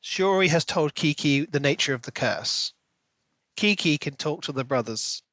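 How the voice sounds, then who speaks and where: A British man with a fairly high, very bright voice, recorded close and dry. He talks as a podcast co-host, picking a story apart in a casual, questioning manner. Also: expressive, moderate pace, no room sound. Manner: analytical, questioning. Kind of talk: casual book discussion.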